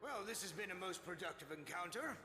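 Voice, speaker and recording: An actor playing a polished, courteous diplomat in a video game, formal and articulate. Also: male voice, high-pitched, lively intonation, a little fast, music in the background. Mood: composed. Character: polished, courteous male delegate, coolly diplomatic.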